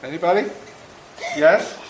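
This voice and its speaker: A man in his seventies reading a story aloud to children in a folksy, interactive, animated way, as an author before a young audience. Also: fairly high pitch, measured pace. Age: 70s. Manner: folksy, interactive, acts out moments. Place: indoor room before a school audience. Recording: roomy echo, faint background noise.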